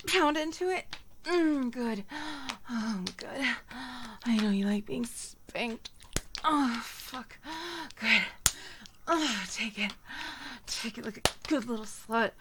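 A woman performing a dominant, teasing audio roleplay, speaking in soft whispers broken by low murmurs. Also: background noise.

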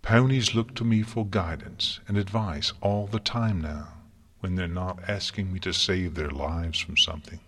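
A man's voice: sits low, steady tone, a little slow, a bit bright, exaggerated voice. A man voicing a distraught young female unicorn student in a story, emphatic, bitter and anguished. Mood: subdued, sorrowful. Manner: emphatic, anguished, sarcastic delivery.

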